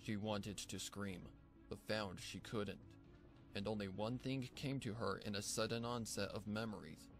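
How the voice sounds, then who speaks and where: A man narrating a dramatic scripted fan fiction story in a measured, theatrical way. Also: lowish voice, fairly even intonation. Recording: music bed behind the voice. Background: background music.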